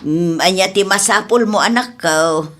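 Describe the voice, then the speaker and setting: A soothing middle-aged mother acted by a woman in a radio drama. She speaks with an Ilocano accent at a medium pitch, in a reassuring, motherly way. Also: unhurried, natural voice. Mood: agreeable.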